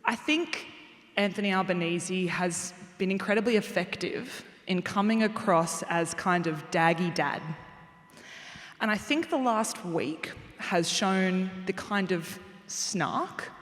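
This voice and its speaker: A woman speaking on a panel in a frank, measured way. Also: mid-range voice. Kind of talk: panel discussion. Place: large hall with audience. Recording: hall reverb.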